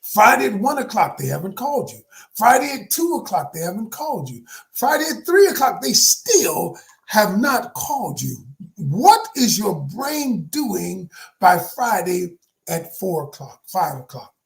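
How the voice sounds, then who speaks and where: A man in his sixties, a life coach giving a motivational talk to viewers. He is emphatic and preachy, calls his listeners "y'all," and swings from hushed to shouted. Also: sits high, big pitch swings, a little slow, creaky. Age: 60s.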